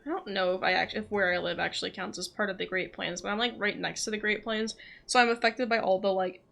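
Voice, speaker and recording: A woman's voice: medium pitch, steady tone, fast, vocal fry, a bit bright. A young adult American woman in casual, rambling livestream chat as she plays a game. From southeastern United States. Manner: chatty, rambling, loosely jumping between thoughts.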